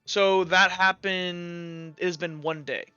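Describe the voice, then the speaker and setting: A man working as game master, improvising tabletop roleplay narration in informal, halting pieces. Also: high-pitched, fairly even intonation, sharp tone, smooth. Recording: slightly dull audio, music underneath. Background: music.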